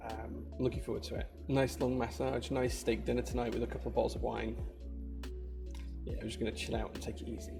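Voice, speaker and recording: Gravelly-voiced Yorkshire man chatting. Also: mid-range voice, little pitch movement, quick pace, over loud music. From Yorkshire, England. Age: adult, married. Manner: chatty, rambling, many fillers and restarts. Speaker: maker livestreamer. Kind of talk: casual livestream chat with viewers. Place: workshop.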